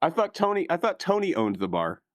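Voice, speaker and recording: A man playing a tabletop game, chatting in character in a casual, halting, comically exasperated way. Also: a little high, wildly swooping pitch, a little hiss or hum. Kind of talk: casual improvised role-play chat.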